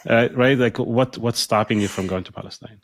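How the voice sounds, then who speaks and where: A man hosting a podcast interview, asking questions with lots of halting restarts and fillers. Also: mid-range voice, no room sound. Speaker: podcast host. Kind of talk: conversational interview.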